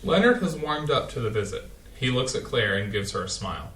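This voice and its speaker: A man's voice: medium pitch, sing-song, brisk, a bit bright. A man plainly reading the stage directions in a staged play reading. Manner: plain, matter-of-fact. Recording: echoey room, distant mic.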